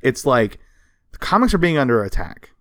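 A man's voice: mid-range voice, sing-song, moderate pace, no echo, studio quality. A man co-hosting a podcast, casually telling history in an emphatic, conversational, explanatory way. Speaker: podcast co-host. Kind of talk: casual storytelling of comics history.

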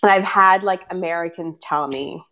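A woman's voice: lowish voice, normal speed, sharp tone. Chatty woman with a light Brazilian Portuguese accent. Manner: chatty, many "like" fillers, laughs easily. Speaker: interviewee. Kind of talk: casual interview chat.

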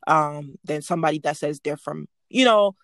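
A woman from Nigeria as a guest in a casual interview, relaxed and hesitant, with many pauses and fillers. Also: low-pitched, no room sound, clean studio sound.

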